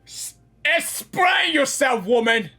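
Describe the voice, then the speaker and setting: A gamer giving an excited, casual live reaction, exclaiming and repeating phrases. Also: high-pitched, takes it slowly, soft background music. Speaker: gaming reaction video maker.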